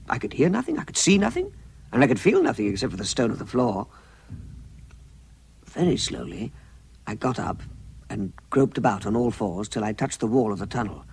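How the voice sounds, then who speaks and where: An actor playing a timid, fussy hobbit in a radio drama, with a hesitant, fretful way of talking. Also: male voice, mid-range voice, a little mellow, slightly rough, full character voice. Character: timid, fussy, flustered hobbit recounting his adventure. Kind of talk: radio drama acting and first-person narration.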